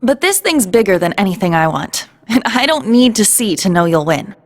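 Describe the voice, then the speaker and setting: Spirited, animated young cadet. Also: female voice, medium pitch, moderate pace, in a large hall. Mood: confident. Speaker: voice actor. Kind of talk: video game cutscene acting.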